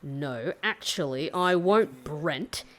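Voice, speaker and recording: An actress playing a defiant, sharp-tongued hitchhiker in an audio drama, confrontational and sarcastic. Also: female voice, sits low, lively intonation, slow, heavily acted.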